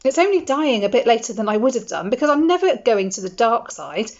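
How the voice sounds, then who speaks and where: An earnest schoolboy wizard presses his point with urgent, driven speech, as a woman reads him aloud. The voice sits high and boyish. The recording is dull and lo-fi. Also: lively intonation, moderate pace, a little mellow. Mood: defiant.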